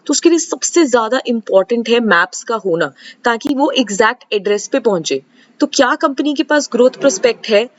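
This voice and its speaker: A woman's voice: a little high, lively intonation, a little fast, highs cut off. A woman with an Indian accent gives an explanatory financial presentation, switching often between Hindi and English. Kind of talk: explanatory stock analysis presentation.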